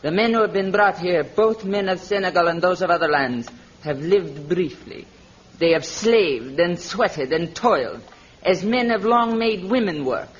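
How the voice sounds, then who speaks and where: A woman's voice: fairly low pitch, animated, a little slow, a little hiss or hum. An actress playing a merciless warrior queen in a vintage radio adventure serial, with commanding, haughty, formal pronouncements. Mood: cold, menacing. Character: imperious, merciless warrior queen of women's fortress. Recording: muffled old radio recording, background din.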